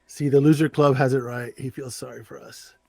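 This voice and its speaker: A man's voice: mid-range voice, fairly even intonation, brisk, gravelly, warm tone, dry recording. A man co-hosting a casual talk show, making mocking, dismissive and sarcastic remarks.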